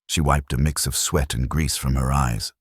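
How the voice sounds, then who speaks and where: A man reading a science fiction audiobook with grave drama. His voice is extremely deep and husky, with plenty of movement in pitch. The sound is close and dry. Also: fairly even intonation, normal speed, dry recording. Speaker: narrator. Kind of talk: scripted audiobook narration.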